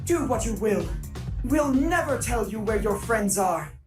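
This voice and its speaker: A woman's voice: on the high side, expressive, a little slow. A woman voicing a prim, exasperated, easily flustered robot henchman in a comic dub, over music. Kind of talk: animated comic dub acting.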